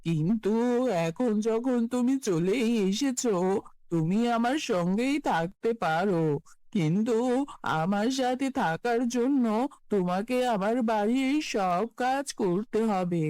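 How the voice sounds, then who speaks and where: A cartoon witch, acted by a woman, draws out her words slowly in a dark, lowered voice. She is calm, sly and coaxing, halting between phrases, with a dry close sound. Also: dry recording. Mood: calm, commanding. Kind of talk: children's fairy-tale cartoon acting.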